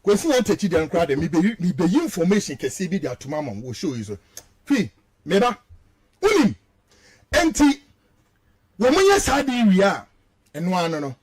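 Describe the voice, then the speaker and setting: A man's voice: sits high, wildly swooping pitch, moderate pace, long pauses. A man with a Ghanaian accent giving heated, emphatic political commentary, mixing Twi and English and repeating phrases for stress.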